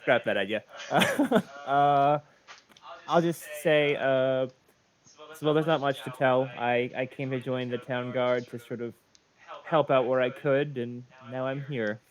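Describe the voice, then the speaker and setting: A man's voice: medium pitch, unhurried, poor audio. A man playing an online tabletop game, casually roleplaying in a hesitant manner. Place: home room on a video call.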